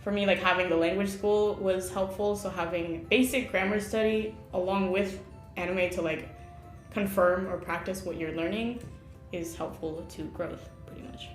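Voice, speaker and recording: A woman's voice: lowish voice, fairly even intonation, moderate pace, roomy sound, faint music behind. Chatty woman with lots of fillers. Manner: chatty, laughs often, many fillers. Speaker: interviewee, Japanese learner. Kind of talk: casual interview chat.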